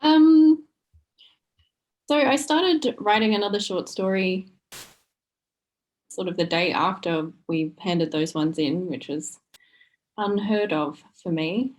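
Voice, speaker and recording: A woman's voice: mid-range voice, animated, slow pace, long pauses, darkish tone, rough recording. A woman talking casually as a guest writer in a group discussion. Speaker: short story writer, podcast guest.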